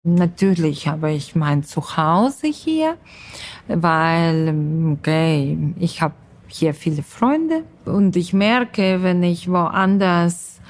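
Slow, hesitant woman from Ukraine. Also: on the low side. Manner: hesitant, searching for words. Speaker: interviewee, social worker. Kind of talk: personal interview account.

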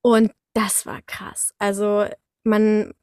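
A young woman chatting casually as a guest, telling anecdotes in a lively, slangy way. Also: medium pitch, slow pace, smooth, no echo, studio quality. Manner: animated storytelling with slangy, colloquial wording. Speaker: guest, voice actress.

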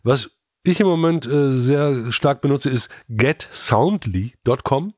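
Male podcast expert, medium pitch, very dry close sound, conversational explanations with halting phrasing. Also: expressive, a little mellow, dry recording. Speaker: podcast regular, sound specialist. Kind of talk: casual explaining.

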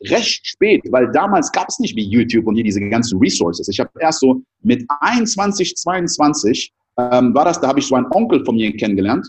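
A man in his thirties, raised in the Ivory Coast, telling his life story as an interview guest with lively, filler-heavy talk. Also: mid-range voice, normal speed, few pauses. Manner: animated storytelling with many fillers.

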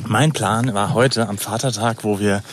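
A man's voice: on the low side, fairly even intonation, a little slow. A man from the Palatinate in Germany hosting a casual podcast, rambling and joking as he talks. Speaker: podcast host. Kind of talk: casual chat. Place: outdoors, walking through fields. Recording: outdoor recording with ambient noise. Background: outdoor ambience.

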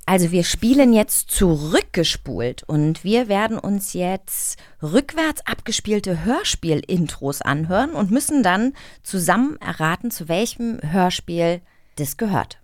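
A woman's voice: lowish voice, very animated, a little slow, no room sound. A woman co-hosting a casual studio chat, speaking informally and effusively. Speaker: co-host. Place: dry studio.

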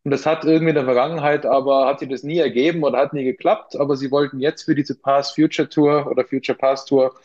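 A man's voice: medium pitch, steady tone, fast, few pauses, darkish tone. A man in his forties with an Austrian accent, answering casually as an interview guest and often hesitating. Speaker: interview guest, brewery co-founder. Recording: dull, lo-fi interview audio.

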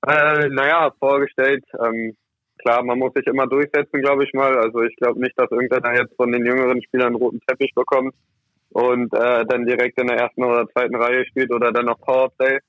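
Young male athlete, casual interview guest, halting delivery, medium pitch, dull lo-fi sound. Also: level tone, dry recording. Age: young adult. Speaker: ice hockey player, interviewed guest. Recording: muffled, dull lo-fi audio.

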